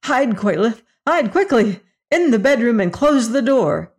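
An earnest young male teacher speaks anxiously and a little flustered, as a woman narrating the story voices him. The delivery is slow and lowish in pitch. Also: expressive, a little mellow.

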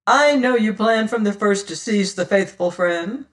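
A man's voice: high-pitched, measured pace, a bit bright. A man reading a story as a bitter, hot-tempered adventurer who accuses and defies in archaic speech. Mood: stern accusing. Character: indignant, hot-tempered, proud male adventurer, bitter and distrustful. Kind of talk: dramatised audiobook reading.

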